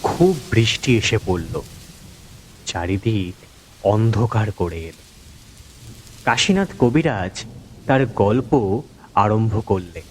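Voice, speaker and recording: Slow, expressive male story narrator. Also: fairly low pitch, slight background noise. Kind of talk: scripted audio story narration. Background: background music.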